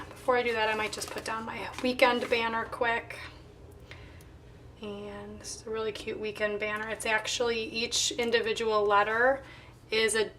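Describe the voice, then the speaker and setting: A woman with a slightly hoarse voice, casually narrating her craft work and thinking aloud as she goes. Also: a little high, fairly bright tone, recorded from a distance, slight background noise. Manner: unhurried thinking aloud while working. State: slightly hoarse, voice not recovered. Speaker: planner vlogger.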